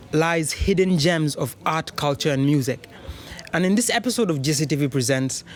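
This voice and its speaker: A man's voice: a little high, darkish tone. A man presenting an arts segment in scripted voice-over, reading earnestly with flowery wording.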